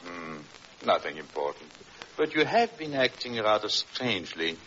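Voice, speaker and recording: An actor playing a controlling husband in an old radio drama, condescending and insinuating, with false concern and quiet menace. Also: male voice, mid-range voice, big pitch swings, normal speed, gravelly, heavily acted. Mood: smoothly patronizing. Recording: dull, noisy vintage radio recording.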